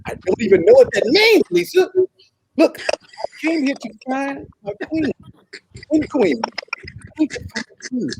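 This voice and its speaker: A man's voice: very high voice, sing-song, slow pace, long pauses, noisy background, full character voice. A man playing an earnest, lovesick young African prince in a comedic table read, pleading dramatically. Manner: pleading, dramatic, repeats words.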